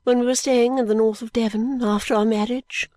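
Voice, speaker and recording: Stately, opinionated matron in an audiobook, a touch raised and measured, read by a woman. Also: on the high side, fairly even intonation, mellow, no room sound, natural voice. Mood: lecturing. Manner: stately and self-assured, lecturing. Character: middle-aged woman, proud, opinionated, thrice-married. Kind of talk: audiobook reading.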